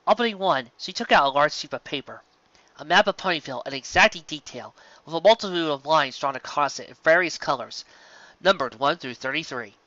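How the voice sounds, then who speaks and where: An animated audiobook narrator reading a story. The voice is bright and forceful with a very lively range, captured close and dry on a slightly muffled recording. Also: mid-range voice, a little fast, no echo.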